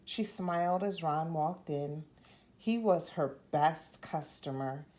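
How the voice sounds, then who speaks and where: A woman reading a children's picture book aloud as an expressive storyteller, acting out the dialogue as she goes. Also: low voice, takes it slowly. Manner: animated, acts out the dialogue. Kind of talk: expressive read-aloud narration.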